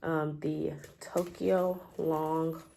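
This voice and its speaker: A woman talks casually at home with a low, gravelly voice that sounds stuffy and scratchy from a cold. She fills her talk with ums and trailing pauses. A faint hiss sits under her voice. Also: little pitch movement, dark tone. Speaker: homestead gardening vlogger. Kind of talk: casual chatty show-and-tell. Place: home, at a table.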